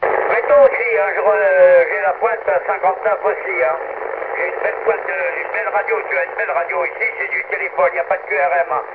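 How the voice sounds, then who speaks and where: A man's voice: high-pitched, fairly even intonation, quick pace. A man chatting in a familiar, colloquial way as an amateur radio operator over a radio link. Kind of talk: casual radio contact chat. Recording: dull, noisy amateur radio transmission.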